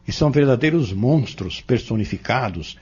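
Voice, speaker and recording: Man acting a learned male mentor, Brazilian accent, husky, didactic, dull lo-fi audio novel recording. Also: mid-range voice, lively intonation, darkish tone. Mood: patient, instructive. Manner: didactic, measured, formal. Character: learned, patient male spiritist mentor explaining doctrine.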